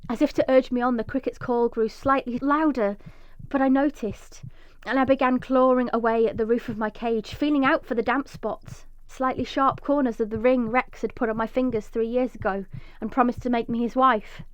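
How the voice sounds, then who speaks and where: An actress playing a desperate, vengeful mother trapped underground, narrating intensely in a breathy first-person radio drama monologue. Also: on the high side, steady tone, normal speed, hardly any pauses, close to an everyday voice. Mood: urgent. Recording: echoey, slightly dull recording.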